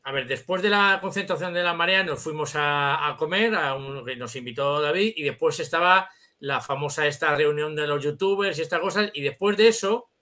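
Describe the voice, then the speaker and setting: A man's voice: a little high, normal speed, few pauses, bright, forceful tone. A middle-aged man with a Spanish accent from Spain, chatting casually and telling anecdotes in colloquial language over a video call. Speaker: guest. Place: indoor room, on a video call.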